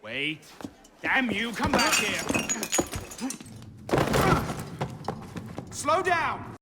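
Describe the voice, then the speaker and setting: An actor playing a young, determined and wry hooded assassin in a video game cutscene. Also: male voice, very high-pitched, very animated, slow pace, very noisy, full character voice. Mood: urgent, demanding. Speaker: game character.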